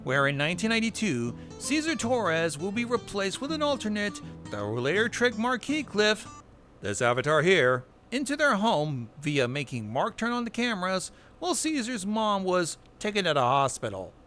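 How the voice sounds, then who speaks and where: A man's voice: sits high, very animated, moderate pace, a bit bright. A man hosting a narrated recap, with wry asides and casual swearing. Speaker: video host. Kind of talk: narrated recap of horror web series. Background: music bed.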